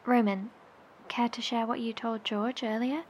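A woman playing a steady coordinator who talks to her team over a radio, calm and reassuring. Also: fairly high pitch, unhurried, close to an everyday voice. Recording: noisy recording.

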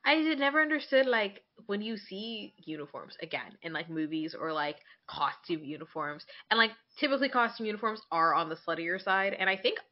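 A woman's voice: medium pitch, animated, fairly quick, hardly any pauses, lo-fi sound. A woman co-hosting a casual chat show, talking in a candid, sarcastic way with casual swearing. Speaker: podcast co-host.

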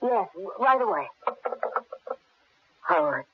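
A woman playing an excitable, devoted wife and mother in a 1970s radio drama, emphatic and theatrical, with a husky voice. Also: medium pitch, slow, dry recording, exaggerated voice. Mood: panicked. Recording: muffled narrow phone-like radio recording.

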